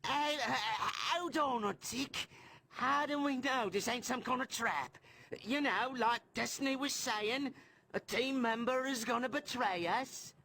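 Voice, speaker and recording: An actor playing a suspicious, cowardly little mutant in a video game, wheedling and creaky. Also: male voice, extremely high pitch, measured pace, pushed and bright, exaggerated voice. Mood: suspicious, needling. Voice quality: creaky, gravelly.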